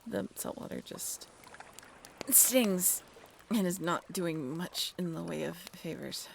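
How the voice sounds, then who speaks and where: A young woman playing a friendly half-mermaid in an intimate audio roleplay, with a creaky voice and a casual, halting, wry and bashful way of talking. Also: lowish voice, expressive, slight background noise, full character voice. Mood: evasive, reassuring. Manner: casual, halting, softly self-deprecating. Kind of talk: intimate audio roleplay, one-sided conversation.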